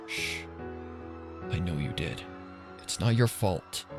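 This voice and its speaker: A man voicing a caring young pony stallion with soft, low-key delivery in a fan fiction reading. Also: animated, takes it slowly, music in the background. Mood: consoling. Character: weary, tender young stallion, protective boyfriend.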